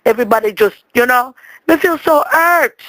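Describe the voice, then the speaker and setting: A woman with a Jamaican accent speaking patois, telling a rambling comic story in a dramatic, expressive way and repeating words for emphasis. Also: high-pitched, normal speed, no echo, poor audio.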